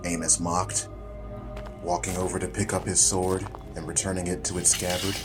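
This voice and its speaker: Low-voiced male dramatic audiobook narrator. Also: little pitch movement, moderate pace, music in the background. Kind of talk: scripted dramatic story narration.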